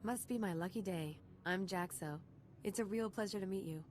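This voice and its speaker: A woman's voice: mid-range voice, normal speed, background noise, natural voice. An actress playing a wry, confident female army sergeant in a video game, upbeat and a little flirty. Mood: breezy, wry.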